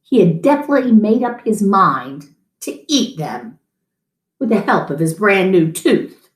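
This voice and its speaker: A woman's voice: medium pitch, very animated, unhurried. A middle-aged woman reading a children's picture book aloud as a story time host, in a room at home. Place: small room at home, indoors. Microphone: camera mic a few feet away. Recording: roomy echo.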